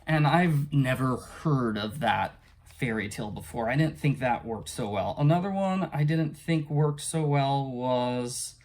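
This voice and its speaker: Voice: mid-range voice, a little slow, a little mellow. Hesitant, rambling casual book reviewer. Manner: rambling and hesitant, often trailing off and chuckling.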